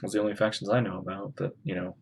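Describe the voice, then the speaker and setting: A man's voice: deep voice, flat delivery, racing, a little mellow, a little hiss or hum. A man streaming his reaction to a video game, giving casual commentary and thinking aloud as he speculates.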